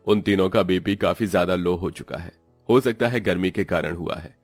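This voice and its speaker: A man playing a calm, grave doctor who explains in a measured manner in a cartoon story. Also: sits low, no room sound.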